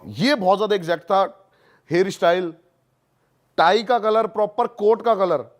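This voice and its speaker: A man's voice: sits high, measured pace. A man in casual podcast conversation, telling stories and explaining things emphatically, repeating words for stress. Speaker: podcast guest.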